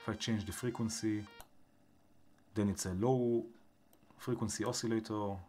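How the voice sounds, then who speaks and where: A man with an Israeli accent, hosting a live stream and thinking aloud as he builds synth patches, with filler words and pauses. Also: lowish voice, steady tone, darkish tone, slight background noise. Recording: music playing under the voice.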